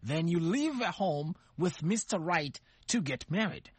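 A man from Africa hosting a panel talk show, moderating and laughing often between quick questions. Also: on the high side, animated, husky, no room sound.

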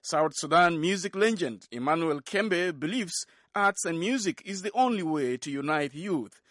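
A man's voice: a little high, moderate pace, no room sound, clean studio sound. A man hosting a radio news program, reading formal scripted links. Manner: steady, formal announcing.

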